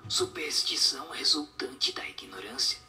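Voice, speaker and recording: A man plays a solemn spirit in an audio drama, instructing in a breathy whisper. The delivery swoops in pitch over a faint music bed, staying didactic throughout. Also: huge pitch swings, bright, forceful tone, full character voice. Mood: solemn, instructive. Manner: didactic, measured explanations.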